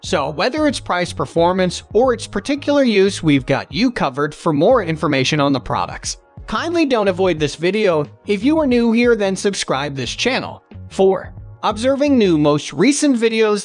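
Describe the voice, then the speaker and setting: A man with a high-pitched voice reads a scripted product review. His intonation moves up and down in a lively way, though the delivery is stiff and read out. Music plays behind him. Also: moderate pace. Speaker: narrator of product review videos.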